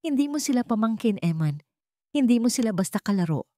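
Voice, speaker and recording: A woman's voice: on the high side, expressive, brisk, mellow, no echo, studio quality, voice pushed up, natural voice. A woman voicing an ailing mother in a radio drama, emotional, earnest and pleading. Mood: earnest, tearful.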